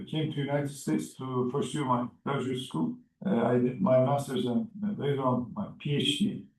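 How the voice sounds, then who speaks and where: A man's voice: medium pitch, darkish tone. Man, matter-of-fact with fillers. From Turkey. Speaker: oral history interviewee. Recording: echoey room, distant mic.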